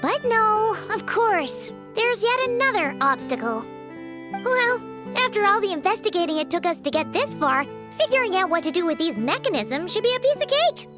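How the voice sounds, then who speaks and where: Squeaky, very high-pitched little fairy. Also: female voice, very animated, moderate pace, over music. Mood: exasperated, upbeat. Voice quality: squeaky, childlike. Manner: perky, exclaiming, talks in third person. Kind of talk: video game voice acting.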